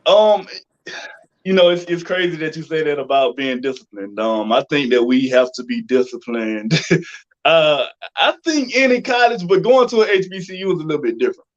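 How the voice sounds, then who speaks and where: A slightly muffled, lo-fi recording of an American man with a fairly high voice. He hosts a casual interview, phrasing his questions informally and working them out aloud. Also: lively intonation, normal speed. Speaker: interview host. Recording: dull lo-fi audio.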